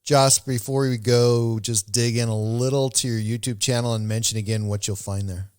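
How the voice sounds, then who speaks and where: A man's voice: medium pitch, steady tone, moderate pace, darkish tone, clean tone. A man hosting a podcast, speaking to a guest and to his listeners. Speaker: podcast host.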